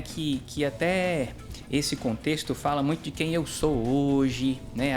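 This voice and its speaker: A man from the interior of Minas Gerais with a mineiro accent, a pastor chatting as a guest and telling his story, often ending lines with "né?". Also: fairly high pitch. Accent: Minas Gerais Brazilian Portuguese. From interior of Minas Gerais, Brazil. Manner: chatty storyteller, tags sentences with "né?". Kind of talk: casual chat interview.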